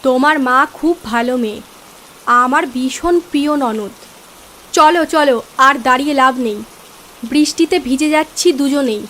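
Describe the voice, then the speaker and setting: Woman playing a high-voiced mysterious village aunt. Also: measured pace, fairly bright tone, a little hiss or hum. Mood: coaxing, affectionate. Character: mysterious affectionate aunt appearing on a rainy night. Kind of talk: cartoon horror story dialogue.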